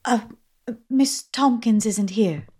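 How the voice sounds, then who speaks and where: An actress playing a wry, anxious young widow in a radio play, hesitant at times and drily witty. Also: female voice, on the high side, takes it slowly, darkish tone, breathy edge. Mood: puzzled, hesitant. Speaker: actor in audio drama.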